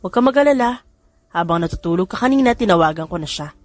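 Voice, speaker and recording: A woman's voice: on the low side, lively intonation, quick pace, no echo. A woman voicing a bitter, taunting male kidnapper in an audiobook drama, with music behind. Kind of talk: audiobook character dialogue.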